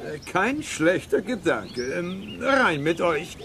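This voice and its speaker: An actor playing a strict, cranky eccentric who owns a spooky house, scolding and commanding in a children's radio play. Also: male voice, sits high, big pitch swings, slow, fairly bright tone, heavily acted. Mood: gruff, suspicious. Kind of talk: German audio drama acting. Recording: long reverb, clearly noisy.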